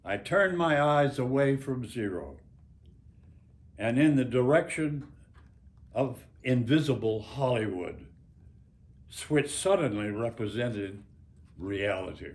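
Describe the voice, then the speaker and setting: An elderly American man in his nineties reads literary prose aloud at a very unhurried pace. His pitch sits in an average male range. He phrases deliberately and dramatically, leaving pauses between short groups of words. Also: animated. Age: 90s. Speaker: author. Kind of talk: reading own memoir aloud, literary narration.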